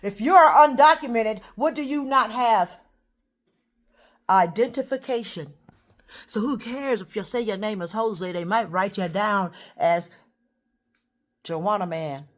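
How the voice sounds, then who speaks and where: A woman ranting in a rambling, profane monologue, swinging between loud outbursts and low muttering. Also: measured pace, pauses often. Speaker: vlogger.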